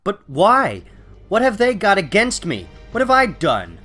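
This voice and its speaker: A man's voice: mid-range voice, moderate pace, a bit bright, soft background music, natural voice. A man playing an earnest, anguished teenage superhero in a melodramatic comic-book reading. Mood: indignant. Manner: emphatic, theatrical, self-questioning.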